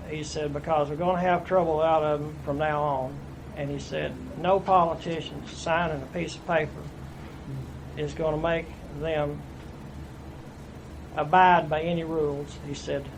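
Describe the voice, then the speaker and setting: A man in his fifties with an East Tennessee accent, telling his life story plainly in an oral history interview. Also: fairly high pitch. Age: 50s. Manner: plainspoken, folksy storytelling with restarts. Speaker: interviewee, veteran. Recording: noisy room recording.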